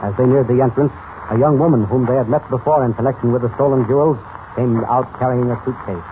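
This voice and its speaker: A man's voice: medium pitch, steady tone, fast talker, background noise, close to an everyday voice. A man narrating a 1940s radio serial in a formal, suspenseful, dramatic style, on a tinny old recording. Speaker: announcer, narrator.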